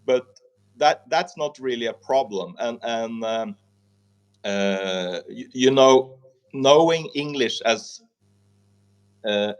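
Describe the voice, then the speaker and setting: A middle-aged man from Norway with a Norwegian accent, a teacher and interview guest. He speaks English as a second language, haltingly, with many repeated words and restarts. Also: mid-range voice, lively intonation, clean tone. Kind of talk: conversational interview.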